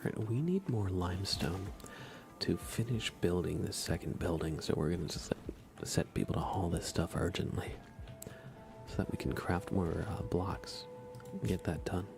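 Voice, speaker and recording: Male ASMR gaming streamer: very low, fry-heavy voice, soft and unhurried, musing commentary. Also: over music. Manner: hushed, unhurried, musing aloud. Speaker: live streamer.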